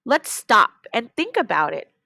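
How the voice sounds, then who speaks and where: A woman reads to young children as their teacher, with lively, swinging intonation. Her delivery is expressive and instructional, built for a storybook read-aloud, and the sound is dry and close. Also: fairly high pitch, gravelly, no room sound. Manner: expressive, instructional, pausing to pose questions. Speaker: teacher reading aloud to pupils.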